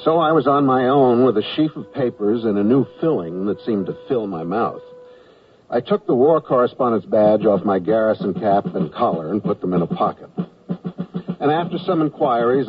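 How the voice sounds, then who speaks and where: A man's voice: medium pitch, lively intonation, normal speed, lightly acted. An actor playing a mild, hapless army draftee who tells his own story in an old radio comedy. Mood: wry storytelling. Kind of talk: first-person radio narration and comedy acting. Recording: noisy, muffled, distant vintage radio recording.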